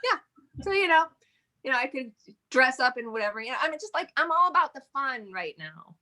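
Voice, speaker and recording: Bright-voiced chatty woman in her forties. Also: sits high, sing-song, a little fast. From Michigan. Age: 40s. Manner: chatty, rambling, laughs often. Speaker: interview guest, modeling agency owner. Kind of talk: casual interview chat.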